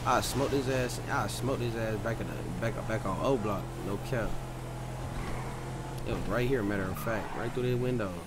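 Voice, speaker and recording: A man's voice: fairly low pitch, moderate pace. A man streaming a crime roleplay game, bantering in profane, heavy street slang. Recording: noisy game audio with car sounds. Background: game ambience and vehicle noise.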